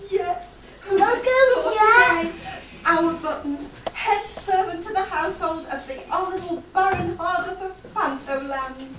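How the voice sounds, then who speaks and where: An excitable, proud pantomime relative, played by a woman, speaking in a high, swooping stage voice. It is caught from far off in a noisy hall. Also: measured pace. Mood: proud, chatty. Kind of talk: amateur pantomime stage acting. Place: theatre or hall stage with audience. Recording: echoey hall, distant mic, noisy background.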